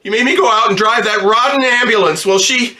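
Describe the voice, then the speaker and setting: A man's voice: extremely high pitch, a little fast, pushed and bright, exaggerated voice. A man playing an embittered, distraught ambulance driver in slangy, stammering old-time radio drama style. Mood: anguished, accusing. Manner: slangy working-class talk, stammering when upset.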